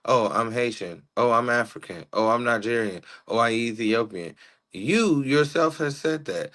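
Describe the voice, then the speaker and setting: A man's voice: on the low side, a bit bright. A man co-hosting a political livestream, making his case in an emphatic, argumentative, slangy way. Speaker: livestream co-host. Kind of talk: casual political debate chat.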